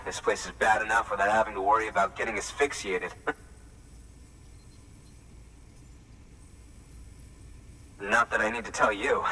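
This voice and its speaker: A man playing a wry, excitable, foul-mouthed field scientist in a video game cutscene, with an expressive delivery. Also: lowish voice, slight background noise, rough recording. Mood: wry, grateful. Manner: wry, sighing, expressive, stammers when eager. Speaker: scientist at a dig.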